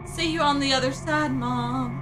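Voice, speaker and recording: A woman's voice: high-pitched, fairly even intonation, normal speed, music in the background. A woman ad-libbing mock-dramatically in an improvised amateur comedy fan dub. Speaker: amateur voice dubber.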